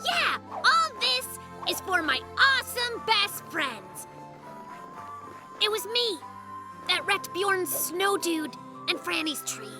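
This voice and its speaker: Young bear cub, children's cartoon, very high-pitched, animated, boastful, excitable, theatrical, music behind. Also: slow pace, heavily acted. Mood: eager, proud. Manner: theatrical, exclamatory storytelling.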